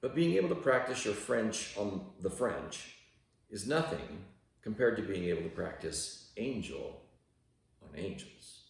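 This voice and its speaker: Deep-voiced man reading in a very echoey room. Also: lively intonation, a little slow, creaky. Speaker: reader of a devotional audiobook. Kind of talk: read-aloud devotional narration. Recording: very echoey room, distant from microphone.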